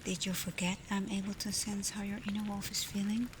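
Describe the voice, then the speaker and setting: A woman playing a tender, protective alpha werewolf in an intimate ASMR roleplay, speaking softly and breathily, often in a whisper. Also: mid-range voice, flat delivery, lightly acted. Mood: soothing, curious. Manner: slow, gentle, hesitant when confessing feelings. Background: ambient storm noise.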